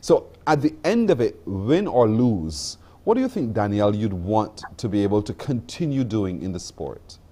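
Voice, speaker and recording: A man's voice: fairly low pitch, big pitch swings, normal speed, hardly any pauses, mellow. A man hosting a morning TV show, chatty and laughing easily as he asks long, rambling questions. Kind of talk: broadcast interview.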